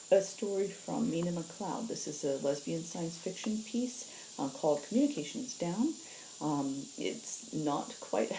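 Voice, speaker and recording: A woman hosting a story reading, moving between casual talk and expressive narration, with insects behind her. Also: low voice, noisy background. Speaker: host reading stories aloud.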